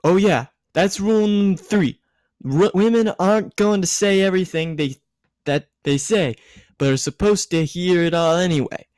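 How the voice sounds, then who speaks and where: Man putting on a high-pitched, tipsy, bossy woman. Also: moderate pace, darkish tone, no room sound, clean studio sound, natural voice. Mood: tipsy, insistent. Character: tipsy, giggly, bossy adult woman guardian giving love advice.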